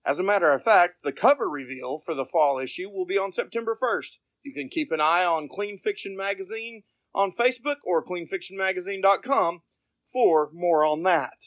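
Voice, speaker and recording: High-pitched man hosting a podcast. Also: expressive, normal speed, a bit bright, no room sound. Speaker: podcast host. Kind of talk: scripted podcast narration and interviewing.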